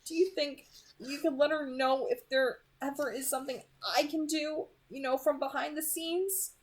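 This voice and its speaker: Woman reading as an earnest, gentle young male vampire. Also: sits high, normal speed, voice pushed up, close to an everyday voice. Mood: tentative, earnest. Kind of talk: amateur audiobook reading.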